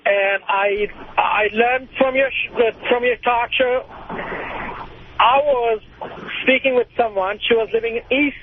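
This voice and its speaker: A man's voice: extremely high pitch, steady tone, measured pace. A man calling a radio show and casually telling a personal story. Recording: noisy, tinny phone line.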